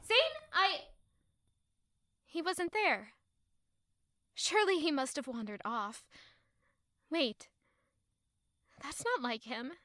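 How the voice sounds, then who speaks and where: A woman acting a sweet, high-born young woman in an audio drama, earnest and expressive, anxious and easily indignant. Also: slow pace, long pauses, full character voice. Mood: worried.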